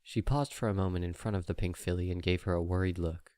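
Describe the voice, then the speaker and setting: A man with a fairly low voice narrates a story from a script. The recording is dry and close, with the delivery of an amateur audiobook of fan fiction. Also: steady tone, fast, warm tone, no echo.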